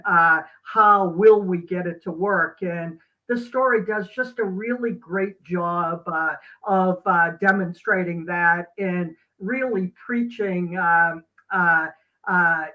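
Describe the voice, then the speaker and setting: A middle-aged man speaking as an interview guest, reflective and earnest, with halting, emphatic pauses. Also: high-pitched, muffled recording. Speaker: guest, doctor and teacher.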